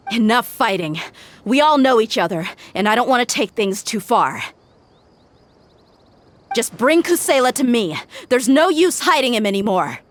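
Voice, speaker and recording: A woman's voice: on the high side, sing-song, moderate pace, a little hiss or hum. An actress playing a tough, commanding young woman mercenary in a video game, speaking bluntly. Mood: threatening. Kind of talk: scripted game voice acting.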